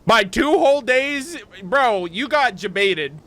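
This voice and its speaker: A man's voice: very high voice, animated, takes it slowly, a bit bright. A man streaming a video game, reacting casually with jokes and slang. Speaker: gaming streamer. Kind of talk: casual let's-play commentary.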